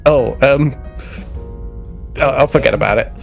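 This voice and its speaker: A man's voice: mid-range voice, measured pace, vocal fry, soft background music. A man playing a naive, cheerful young starship ensign in a tabletop game, friendly, polite and a little hesitant. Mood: friendly, eager.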